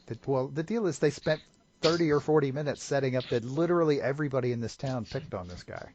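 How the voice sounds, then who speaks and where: A man's voice: mid-range voice, fast talker, noisy background. A man co-hosting a podcast, talking casually about a movie and often stumbling over repeated words as he starts a sentence. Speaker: podcast co-host. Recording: dull lo-fi podcast audio.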